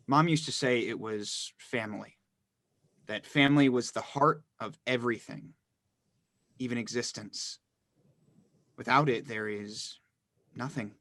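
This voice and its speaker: A man's voice: medium pitch, a bit bright, dry recording, close to an everyday voice. A man playing a brooding, introspective teenage brother in a dramatic horror play reading. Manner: reflective, measured. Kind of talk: dramatic monologue in script reading.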